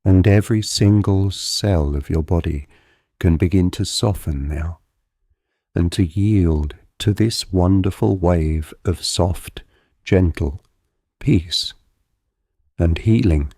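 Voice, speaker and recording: A man guides a relaxation exercise in a low, warm voice. His delivery is very slow, calm and deliberate, with a gentle hypnotic flow. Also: no echo, clean studio sound. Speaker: guided visualisation narrator. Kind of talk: guided meditation, soothing hypnotic narration.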